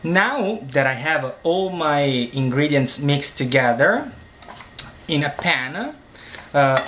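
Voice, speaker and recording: A man in his forties with a Sicilian Italian accent, hosting a casual home cooking demonstration, unhurried with many hesitations. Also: a little high, fairly bright tone, echoey room, slight background noise. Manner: unhurried, hesitant with many fillers, relishing tastes. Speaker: cooking show host. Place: home dining room, indoors.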